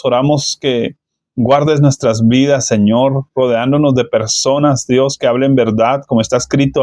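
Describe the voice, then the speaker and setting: A man leading a spoken prayer, slow and measured, calling on the Lord between nearly every phrase. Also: mid-range voice, a little mellow, no echo, clean studio sound. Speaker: praying minister.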